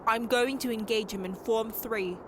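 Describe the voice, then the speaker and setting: A woman's voice: a little high, moderate pace, noisy background, close to an everyday voice. A woman playing a focused, commanding young female warrior in a fan audio drama. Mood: focused resolve. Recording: loud score under voice.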